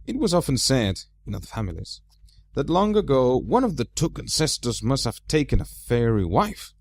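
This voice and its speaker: A man narrating a storybook aloud as an amateur reader, with expressive, deliberate phrasing. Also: mid-range voice, no room sound.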